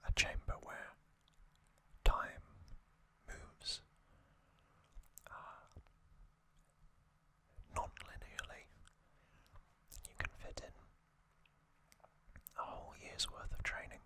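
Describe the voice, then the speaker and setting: Male ASMR host for whispered storytelling: very slow, breathy and crisp, delivered in short hushed phrases.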